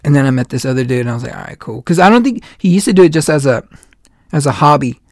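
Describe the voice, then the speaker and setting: A man's voice: mid-range voice, lively intonation, fast, darkish tone, no echo, clean studio sound. A man in his late twenties hosting a casual podcast chat, rambling along with lots of "like" and retold quotes. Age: 20s. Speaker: podcast host.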